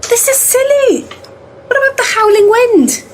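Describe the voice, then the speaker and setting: An actress playing a sceptical, exasperated observer of ghost hunters in a comedy audio drama, incredulous and pointed. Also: female voice, extremely high pitch, lively intonation, a little slow, background noise.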